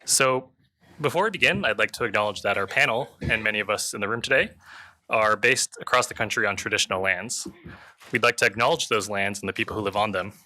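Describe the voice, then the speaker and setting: A man's voice: fairly low pitch, fast talker, bright, forceful tone. A man moderating a panel, giving a prepared introduction to an audience.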